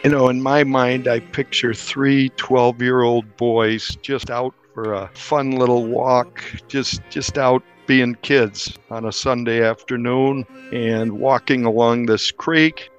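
A man's voice: mid-range voice, little pitch movement, slow, few pauses, soft background music. A middle-aged or older man, a reporter telling stories conversationally in an interview. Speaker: newspaper reporter.

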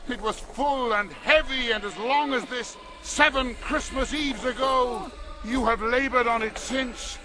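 A man's voice: very high-pitched, unhurried, low-quality recording. An actor in a radio play voicing a tormented male ghost in chains, solemn, reproachful and declamatory. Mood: mournful, warning. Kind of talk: radio theatre acting.

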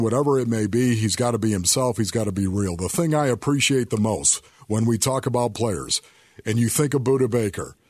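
A man's voice: mid-range voice, darkish tone, slightly rough, dry recording, clean studio sound. A man co-hosting a sports radio show, making his points emphatically and repeating key phrases. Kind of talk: sports talk commentary.

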